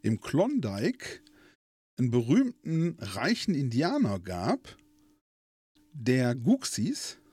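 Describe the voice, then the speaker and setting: Lively rambling middle-aged man. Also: mid-range voice, slow, long pauses, slightly rough, dry recording. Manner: rambling, restarts often, animated storytelling. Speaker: podcast co-host. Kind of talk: casual chat.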